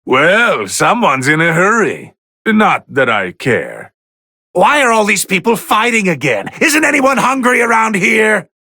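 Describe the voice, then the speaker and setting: An actor playing a haughty, bored, easily irritated god of destruction who gives pompous, theatrical commentary in a fighting video game, with a gravelly voice. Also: male voice, high-pitched, wildly swooping pitch, unhurried, bright, forceful tone, no room sound, clean studio sound, heavily acted. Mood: irritated, outraged. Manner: pompous, theatrical, drawling disdain.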